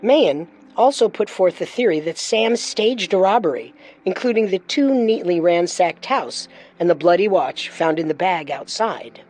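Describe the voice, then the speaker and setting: A woman's voice: on the low side, lively intonation, normal speed, a little mellow. A woman narrating a scripted true-crime story as host.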